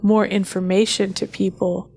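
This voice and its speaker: A woman's voice: mid-range voice, flat delivery, moderate pace, darkish tone, faint music behind. A woman co-hosting a casual tech discussion, earnest and emphatic, often repeating herself to make a point. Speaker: podcast co-host.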